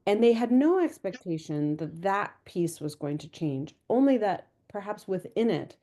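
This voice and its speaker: A woman history professor giving a measured, explanatory answer on an academic panel. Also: on the low side, warm tone.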